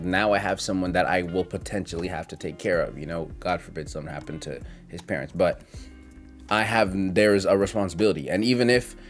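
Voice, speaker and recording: A low-pitched male voice in his twenties speaks in a casual, confessional vlog style. He rambles and hesitates, thinking things over reflectively as he goes. Faint guitar music plays behind him. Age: 20s. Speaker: content creator.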